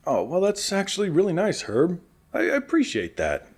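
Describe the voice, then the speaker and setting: A man playing an affable, earnest radio show host in a post-apocalyptic comedy drama, chatty, rambling and gently teasing. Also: high-pitched, huge pitch swings, moderate pace, breathy edge, exaggerated voice. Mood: sincere, grateful.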